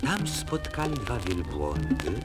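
A man tells a rhymed children's story on a vinyl record. He is theatrical, with a low, very animated voice and a slow delivery, and loud music plays behind him. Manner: expressive, theatrical verse reading.

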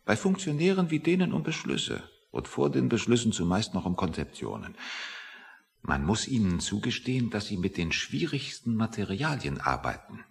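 Grumpy pedant, radio satire: low, gravelly male, lecturing with swooping emphasis. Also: very animated, moderate pace. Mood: pompous, musing. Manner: pedantic, lecturing, easily offended. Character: grumpy, self-satisfied, pedantic man waiting outside a meeting room. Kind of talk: satirical German radio play dialogue. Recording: reverberant hall-like room.

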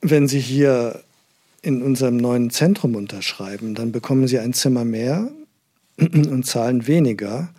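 A man's voice: medium pitch, a little slow, dark tone, slightly rough. An actor playing a reassuring but pushy care centre salesman in a naturalistic radio drama, talking in a coaxing way. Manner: coaxing, leading tag questions, reassuring.

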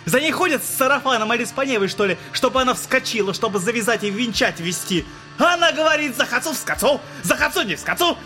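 A high-pitched, feverish, tormented witness. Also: male voice, lively intonation, fast, bright, forceful tone, exaggerated voice. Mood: bitterly mocking. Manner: agitated, sardonic, erratic. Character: feverish, tormented man testifying in court, bitterly defiant. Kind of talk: theatrical audio drama acting. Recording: dramatic music behind the voice. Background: music.

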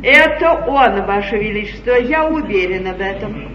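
An actress playing a domineering, shrewd middle-aged duchess at an English royal court in a 1950s stage comedy, declaiming emphatically. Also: female voice, mid-range voice, lively intonation, moderate pace, recorded from a distance, lightly acted. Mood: indignant, accusing. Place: large theatre stage, from off. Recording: roomy, dull, noisy 1953 theatre stage recording.

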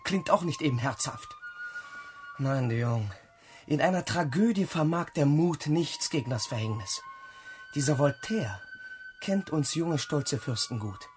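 An actor playing a proud, dreamy, rebellious young crown prince in a German radio play, declamatory and theatrical. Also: male voice, a little high, lively intonation, a little slow, soft background music. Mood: theatrical gloom. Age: young adult.

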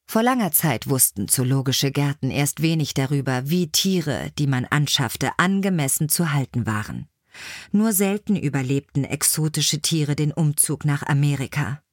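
A woman's voice: sits low, expressive, normal speed, no echo, studio quality. A woman reading scripted biographical stories as an audiobook narrator.

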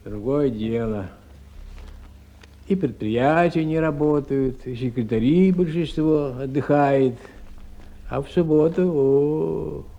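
A man's voice: mid-range voice, gravelly, mellow. An actor playing a chatty, good-natured, obliging watchman in a radio drama, with colloquial, repetitive phrasing. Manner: chatty, colloquial, self-repeating. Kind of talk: 1970s Soviet radio drama acting. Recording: dull, muffled old radio recording.

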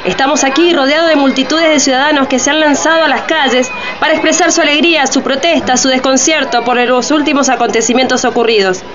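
A woman's voice: sits high, quick pace, hardly any pauses, close to an everyday voice. A woman playing a bossy, impatient live reporter in a radio play, announcing breathlessly. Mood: excited announcing. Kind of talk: radio drama news-broadcast acting. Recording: lo-fi, noisy street crowd behind.

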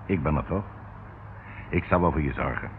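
Ship captain, fifties, deep voice, evasive, hesitant, radio drama, narrow noisy vintage sound. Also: male voice, moderate pace. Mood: coaxing, secretive. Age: 50s. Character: gruff, evasive ship captain in his fifties, scheming suitor. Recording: tinny band-limited old radio recording, noisy.

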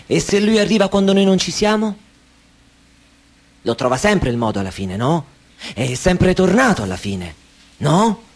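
An actor playing an anxious, controlling man in a radio play, who talks insistently and broods, dropping to a hush and then pressing hard. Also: high voice, sing-song, moderate pace, long pauses, heavily acted. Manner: brooding, insistent, swings between hush and urgency. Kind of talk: Italian radio play acting.

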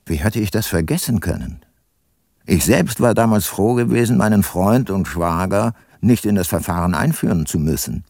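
An actor playing a weary, guilt-laden ageing private detective and former prosecutor in a radio play, sober and reflective. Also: male voice, low-pitched, expressive, moderate pace, a little mellow, no echo, studio quality, full character voice. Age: older. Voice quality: husky. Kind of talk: first-person radio play narration and dialogue.

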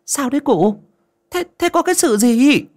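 Performer doing a high, excitable village neighbour woman. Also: takes it slowly. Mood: alarmed. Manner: breathless, gossipy, exclaiming.

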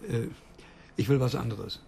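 A man's voice: mid-range voice, moderate pace, gravelly, mellow, slight background noise, lightly acted. An actor playing a naive, stubborn German man in a radio drama, earnest and hesitant, asking insistently. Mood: earnest, urgent.

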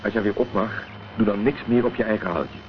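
An actor playing a blunt, protective middle-aged private detective in a Dutch radio drama, terse and direct. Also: male voice, lowish voice, brisk, lightly acted. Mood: sober, explaining. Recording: muffled, noisy old radio recording.

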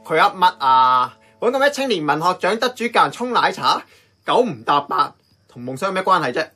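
Man playing a sharp, forceful Hong Kong grumbler. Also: fairly high pitch, big pitch swings, fairly quick, faint music behind, heavily acted. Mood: scoffing, impatient. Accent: Hong Kong Cantonese. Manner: blunt, bossy, scoffing. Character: brash, impatient, grumbling companion of the uncle. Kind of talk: Cantonese radio drama acting.

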